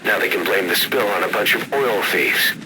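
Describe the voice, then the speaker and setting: An actor playing a stern military intelligence adviser in a video game, briefing in a measured, matter-of-fact way over radio. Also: male voice, low voice, background noise. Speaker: mission radio support. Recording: loud game ambience behind radio voice.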